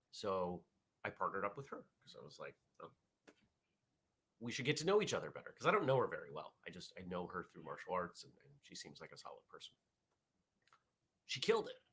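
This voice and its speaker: Husky low-voiced man hosting a live chat. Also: fairly bright tone. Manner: rambling, self-correcting, reads viewer chat aloud. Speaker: host of a martial arts morning show. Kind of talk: casual live-stream chat with viewers.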